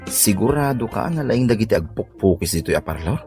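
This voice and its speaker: A lively, mid-pitched man balks and grumbles in an Ilocano comedy drama. He is picky and reluctant, with faint music under him. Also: a little fast. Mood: reluctant, grumpy.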